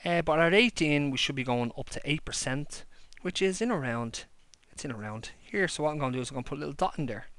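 A man's voice: a little high, animated, fast talker, few pauses, a bit bright, dry recording. A man teaching a maths lesson and explaining each step plainly while he draws on paper at a desk. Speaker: maths lecturer. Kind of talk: handwritten whiteboard-style maths lesson. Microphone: overhead camera above a desk.

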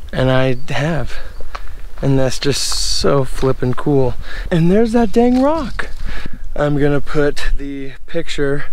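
A young man in his twenties vlogging casually on a mountain trail, chatty and excited, filming himself with a handheld camera. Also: a little high, drawn-out words, a little hiss or hum. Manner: excited, joking, chatty while hiking. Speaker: hiker vlogger. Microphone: camera held at arm's length.

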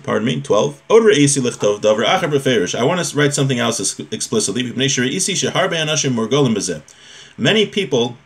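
A man, giving an informal religious lesson in a conversational, explanatory way. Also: medium pitch. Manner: conversational, often checks in with rhetorical questions. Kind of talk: informal lecture, reading a text and explaining it. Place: small home room with bookshelf.

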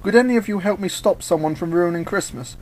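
A man's voice: high voice, fast talker, a little mellow, pitched above the performer's own voice, natural voice. A man voicing a plucky, casual young hedgehog hero in a story reading. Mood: polite.

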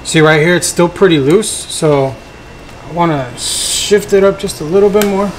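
A man's voice: sits high, moderate pace, low-quality recording. A young man giving an informal, slangy, hands-on tutorial as a family vlogger at home. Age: young adult. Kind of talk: casual hands-on product tutorial. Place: living room at home with tiled floor. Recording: clear background room noise.

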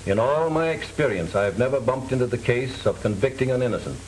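Man acting as old prison warden in his 60s, formal and doubting, middle pitch, noisy old movie audio. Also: expressive, close to an everyday voice. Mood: skeptical, fatherly. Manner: formal, measured. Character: formal, skeptical elderly male prison warden. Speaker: actor playing a prison warden. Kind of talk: 1930s film acting. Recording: noisy 1930s film soundtrack.

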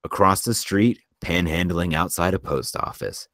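A man's voice: low voice, lively intonation, moderate pace, a bit bright, no room sound, studio quality. A man reading screenplay stage directions as scripted narration for a table read.

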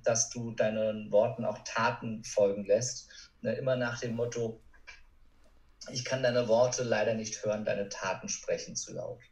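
A man speaking as a motivational coach, giving earnest, conversational answers as a podcast guest. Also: mid-range voice. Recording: roomy, distant from the mic.

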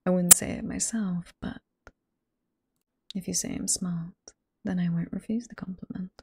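A woman with a British accent playing a flirty, teasing, sweet head librarian boss in a whispered ASMR roleplay, soft and coy, close to the microphone. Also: fairly low pitch, vocal fry, slightly rough, no room sound, exaggerated voice. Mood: coy, chatty. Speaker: voice performer in roleplay.

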